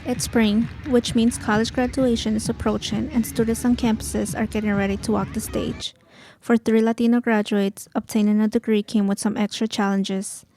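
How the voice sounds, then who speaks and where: A woman student reporter narrating a news package in a measured, formal tone. Her voice is gravelly with vocal fry. A faint noise sits under her voice. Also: a little high, little pitch movement, dark tone, low-quality recording.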